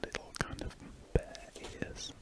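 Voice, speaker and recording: A man with a British accent whispers breathily, with no voiced tone. He rambles in an unhurried ASMR style, musing to himself in short fragments as he works at a desk. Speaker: ASMR artist. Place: indoors at a desk.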